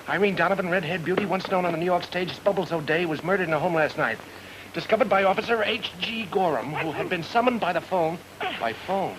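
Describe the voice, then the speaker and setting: An actor playing a glib private detective on the run, sweet-talking in a 1940s film noir style. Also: male voice, high-pitched, background noise. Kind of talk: 1940s film noir comedy-mystery acting.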